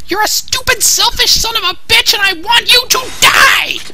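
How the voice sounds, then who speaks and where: A woman plays an impulsive young female commander in a cartoon parody. Her voice is very high and her delivery emphatic and hot-tempered, over clear noise. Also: moderate pace. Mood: furious. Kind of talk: anime parody dub. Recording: clearly noisy track.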